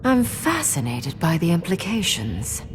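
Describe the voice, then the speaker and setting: A woman playing a coldly pragmatic, curious archmage in a video game, speaking in a measured, aloof manner. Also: on the low side, big pitch swings, background noise. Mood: intrigued.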